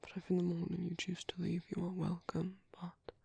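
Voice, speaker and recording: Guy doing a soft british vampire priest for asmr, super breathy n creaky, gentle, shy, protective. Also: sits high, completely flat delivery, brisk, no echo, full character voice. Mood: tender, earnest. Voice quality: soft, breathy, husky, often near-whispered. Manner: hesitant, courteous, formal phrasing. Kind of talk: ASMR roleplay, intimate soft-spoken monologue.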